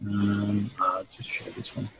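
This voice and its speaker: A man giving a deadpan, casual screen-share demonstration over a video call. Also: fairly low pitch, measured pace, husky, low-quality recording. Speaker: guest plugin developer demonstrating.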